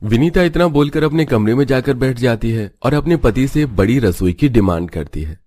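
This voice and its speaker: A man's voice: medium pitch, animated, moderate pace, dark tone, no room sound, studio quality. A man reading scripted narration as a story narrator.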